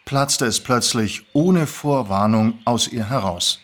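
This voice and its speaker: Casting: male storyteller, mid-range pitch, expressive scripted narration. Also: slow pace. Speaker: narrator, actor.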